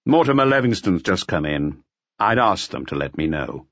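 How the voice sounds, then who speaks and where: A man voicing a cool, gruff, unflappable police detective in an audiobook. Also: low-pitched, very animated, moderate pace, a bit bright, no echo, lo-fi sound. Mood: calm, probing.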